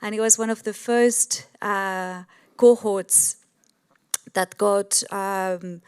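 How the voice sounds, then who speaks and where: Middle-aged female panelist, Argentine accent, native Spanish speaker, smooth clean voice, level intonation. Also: medium pitch, fairly even intonation, takes it slowly. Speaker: panel guest, sustainability entrepreneur. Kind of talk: panel discussion, introducing own work.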